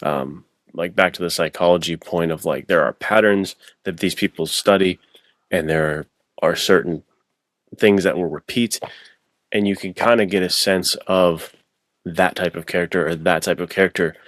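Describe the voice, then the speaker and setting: A man's voice: deep voice, fairly even intonation, moderate pace, no echo. A man chatting casually and reflectively as a podcast guest, informal and earnest, thinking aloud in long runs. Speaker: podcast guest, adventure novelist.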